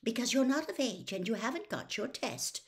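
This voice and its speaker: Woman acting a sharp, scolding witch mother. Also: a little high, expressive, normal speed, pitched above the performer's own voice. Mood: snappish. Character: stern, bossy middle-aged witch mother, quick to snap.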